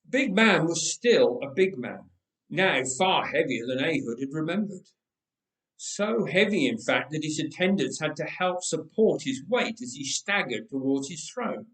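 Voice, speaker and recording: A man reading a story aloud in a dramatic, expressive, measured way with deliberate pauses. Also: a little high, recorded from a distance. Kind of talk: dramatic scripted story narration. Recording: roomy, echoing indoor sound.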